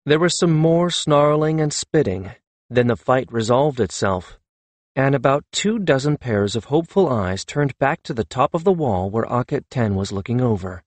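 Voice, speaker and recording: A man's voice: medium pitch, expressive, moderate pace, no echo. A man reading a fantasy novel aloud as an audiobook narrator.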